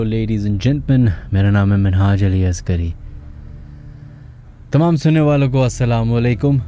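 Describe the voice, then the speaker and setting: Male radio horror host, Pakistani accent, deeply mellow tone, slow pacing, theatrical storytelling, music underneath.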